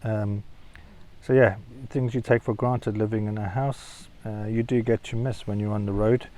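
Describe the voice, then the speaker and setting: A man with a South African accent talking casually in a vlog, working through points in a measured, conversational way. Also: lowish voice, fairly even intonation, mellow, low-quality recording. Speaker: vlogger. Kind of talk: casual vlog talk to camera.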